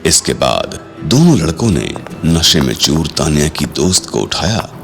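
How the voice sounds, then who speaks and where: A man's voice: rumbling low, sing-song, a little slow, vocal fry, faint music behind. A man narrating a scripted audio drama in a dramatic storytelling style.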